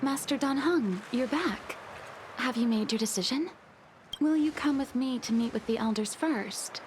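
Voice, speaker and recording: A woman's voice: fairly high pitch, moderate pace, slight background noise, lightly acted. An actress playing a polite, formal young female attendant in a game cutscene, speaking courteously. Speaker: game character. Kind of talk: video game cutscene dialogue. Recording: game audio with loud music.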